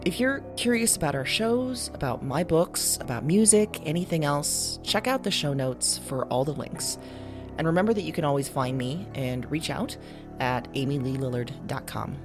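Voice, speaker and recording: A woman's voice: deep voice, lively intonation, normal speed, over music. A woman podcast host talking casually to listeners, introducing shows and chatting.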